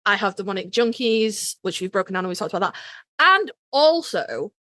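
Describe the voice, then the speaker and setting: A woman's voice: medium pitch, very animated, normal speed, bright, forceful tone, no room sound, studio quality. A woman co-hosting a podcast in casual chat, speaking in an emphatic, informal and playfully blunt way. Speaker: podcast co-host.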